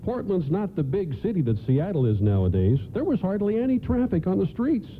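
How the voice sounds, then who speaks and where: Through a thin, faintly noisy band comes an eager, affectionate husband of the 1950s. He talks in a folksy, animated radio play style with swooping pitch. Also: brisk. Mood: cheerful. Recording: narrow phone-like band, faint noise.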